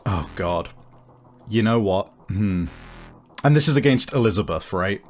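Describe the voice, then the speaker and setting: A man improvising narration as the game master of a tabletop game, explaining things in halting, hedging phrases. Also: lowish voice, expressive. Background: music.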